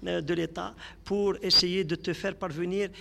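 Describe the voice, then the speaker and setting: A man's voice: high voice, fairly even intonation, normal speed, creaky, pushed and bright, long reverb tail. A man from Algeria, a poet, speaking in a radio interview and reciting his poems in a lyrical, grandiloquent way. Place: radio studio.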